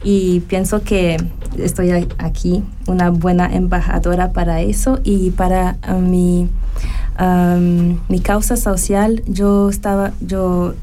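Hesitant swiss woman, french first language, picks words slowly, dark soft voice. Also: on the low side, level tone, slight background noise. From Switzerland. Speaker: beauty pageant contestant. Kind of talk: casual interview answers.

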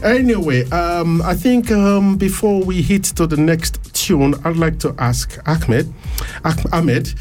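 Male radio DJ, slightly high pitch, talkative with frequent laughs and fillers, music bed faintly behind. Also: takes it slowly, darkish tone. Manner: chatty, laughs often, hesitant fillers. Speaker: radio DJ host. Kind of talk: live radio interview. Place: radio studio.

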